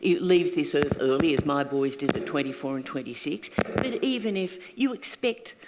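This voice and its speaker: A woman's voice: deep voice, animated, moderate pace, big-room sound, heavy background noise. An older Australian woman speaking at a panel event, earnest and reflective, dropping at times to a near whisper. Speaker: panel guest, workshop leader. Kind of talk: candid panel talk. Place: event hall.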